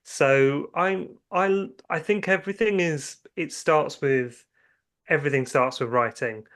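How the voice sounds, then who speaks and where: A man's voice: fairly high pitch, unhurried, a bit bright. Man chatting, rambling through anecdotes. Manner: hesitant starts, rambling anecdotes, self-quoting. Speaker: guest writer and filmmaker. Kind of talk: casual interview chat about own creative work.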